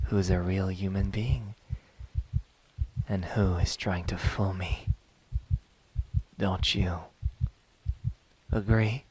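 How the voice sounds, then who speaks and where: A man playing a smug, menacing young demon hunter in an ASMR roleplay, taunting softly and deliberately, often close to a whisper. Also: low-pitched, breathy edge, exaggerated voice, rough recording. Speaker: amateur voice actor.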